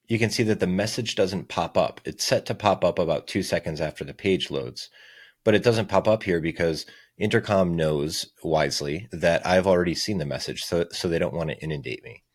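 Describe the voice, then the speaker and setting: A man's voice: low voice, normal speed, no room sound, studio quality. A man narrating a casual screencast tutorial as the presenter, explaining things step by step. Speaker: software demo presenter.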